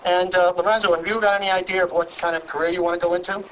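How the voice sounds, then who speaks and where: A man with a Brooklyn accent hosting an interview, asking brisk, friendly questions with frequent restarts. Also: sits high, fairly even intonation, a bit bright, slight background noise, rough recording. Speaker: talk show host. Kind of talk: interviewing guests.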